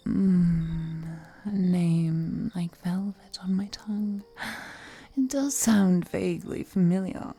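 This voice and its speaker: Woman playing a slow, breathy, seductive vampire lady. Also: fairly low pitch, full character voice. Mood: seductive, teasing. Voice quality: breathy, half-whispered. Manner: breathy, seductive, slow murmuring with soft hums. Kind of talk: intimate audio roleplay acting.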